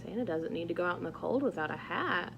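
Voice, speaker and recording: A woman reading a picture book aloud to young children in a playful, animated way, asking exaggerated wondering questions. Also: fairly low pitch, fast, creaky, highs cut off, noisy background. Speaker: children's read-aloud host.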